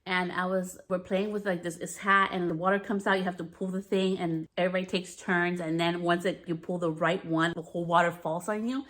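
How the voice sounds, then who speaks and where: A woman in her thirties with a deeper natural voice, hosting a podcast in a frank, candid monologue that swears freely. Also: level tone, brisk, few pauses, fairly bright tone. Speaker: podcast host.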